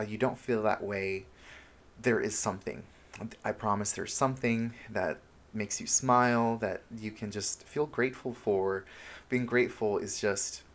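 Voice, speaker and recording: A man talking casually and openly to his viewers as a self-help vlogger, with an earnest, encouraging, informal manner. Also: on the low side. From Honduras, moved to the United States at seven. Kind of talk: casual confessional vlog talk to viewers.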